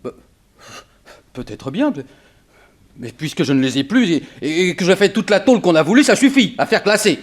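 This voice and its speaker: An actor playing a cagey, indignant former burglar with a grudge, slangy and protesting, in 1960s French radio drama style. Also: male voice, high-pitched, sing-song, normal speed, pauses often. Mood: sly, grumbling.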